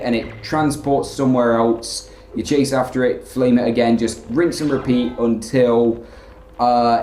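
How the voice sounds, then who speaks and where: Guy rambling through a game playthrough, kinda monotone, um and like everywhere, echoey room. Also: mid-range voice, slight background noise. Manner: rambling, filler-heavy, self-described monotone. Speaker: let's play commentator. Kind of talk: casual gameplay commentary.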